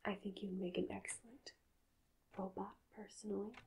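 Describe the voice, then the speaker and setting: Softly whispering woman, creaky ASMR voice. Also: mid-range voice, a little hiss or hum. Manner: hushed, slow, halting, calm delivery. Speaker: ASMR performer playing a hypnotist doctor. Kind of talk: ASMR medical roleplay, soft-spoken and whispering.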